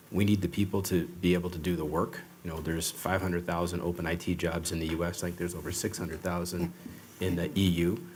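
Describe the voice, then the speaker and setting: A man's voice: low-pitched, slight background noise. A man speaking as a panelist in a panel discussion, fluent and assertive, citing figures.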